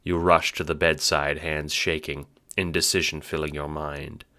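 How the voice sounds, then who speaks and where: A man narrates an audio drama in a very low, bright-edged voice. The delivery is scripted and dramatic, like an audiobook storyteller. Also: little pitch movement, moderate pace.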